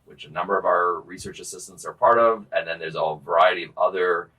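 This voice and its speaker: A man hosting a casual podcast discussion with research assistants, relaxed and joking, trailing off at the ends of phrases. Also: low-pitched, fairly quick, husky, rough recording.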